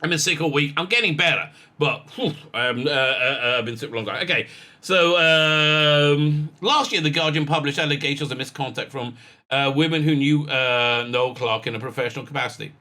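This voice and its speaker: A sick man with a raspy voice hosting his own commentary channel, speaking in a halting, blunt way with many fillers and restarts. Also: fairly high pitch, bright, forceful tone. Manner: blunt and scornful. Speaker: online commentary channel host. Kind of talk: casual spoken commentary to camera.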